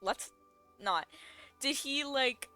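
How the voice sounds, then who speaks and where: A woman's voice: high-pitched, slow pace, sharp tone. A young woman giving casual, informal, reactive commentary as she plays a game. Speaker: game streamer. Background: game music.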